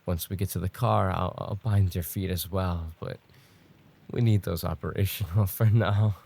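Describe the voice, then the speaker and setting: A man playing a possessive, smugly menacing stalker in an ASMR roleplay, taunting in a mock-tender, unhurried way with a gravelly, husky voice. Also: deep voice, fairly even intonation, darkish tone. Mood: controlled, threatening.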